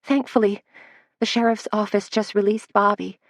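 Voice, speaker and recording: Flustered young gal babbling fast and high, woman reading her, dry recording. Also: fairly even intonation, no room sound, lightly acted. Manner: breathless, words tumbling out, higher pitched. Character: flustered young woman, law office assistant.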